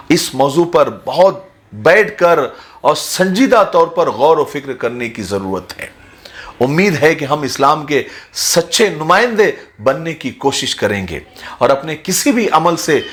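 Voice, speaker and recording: A man's voice: a little high, a bit bright. Earnest man with a raised voice. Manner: measured, appealing, formal vocabulary. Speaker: religious motivational speaker. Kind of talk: earnest scripted address to viewers.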